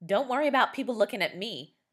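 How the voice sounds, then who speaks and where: Woman doing an excited, proud boy. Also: medium pitch, fairly quick. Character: proud quirky boy in a pumpkin costume.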